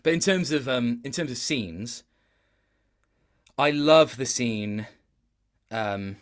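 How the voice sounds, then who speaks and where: A man's voice: medium pitch, expressive, slow pace. A man chatting casually as an interview guest, reflective and hesitant as he thinks aloud. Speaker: guest, game voice actor.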